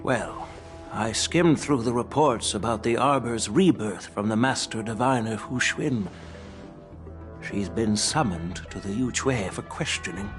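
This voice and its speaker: An actor playing a shrewd old elder statesman in a video game, speaking in a measured, frank and formal way. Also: on the low side, soft background music, natural voice. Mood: measured, candid.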